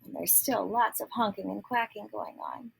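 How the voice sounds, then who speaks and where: A woman reading a story aloud to young children, pausing to explain words and ask questions. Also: mid-range voice, gravelly, fairly bright tone, slightly rough, poor audio. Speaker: picture book reader.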